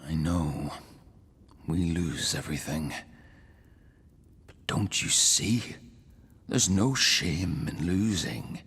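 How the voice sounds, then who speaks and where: An actor playing a grave, bitter warrior in an audio drama. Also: male voice, very deep voice, takes it slowly, creaky, long pauses, fairly bright tone, breathy edge, in a large hall, exaggerated voice. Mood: grave, scornful.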